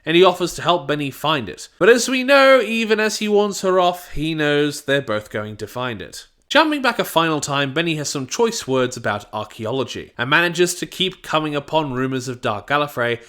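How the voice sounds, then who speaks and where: A man delivering a scripted, opinionated review as narration, in an expressive manner. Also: fairly high pitch, moderate pace, few pauses. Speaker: YouTube audio drama reviewer.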